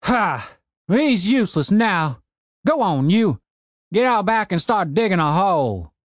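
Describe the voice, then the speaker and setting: A man voicing a cranky old rural man who keeps zombie servants, grumbling in a gruff, drawling way. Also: sits high, big pitch swings, measured pace, no echo, clean studio sound, voice pushed up, exaggerated voice. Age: older.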